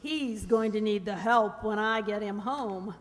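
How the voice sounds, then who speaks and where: Sassy radio-play woman, female actor, dry delivery, medium pitch, reverberant hall. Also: a little slow, close to an everyday voice. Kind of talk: old-time radio re-enactment. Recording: echoey hall, long reverb.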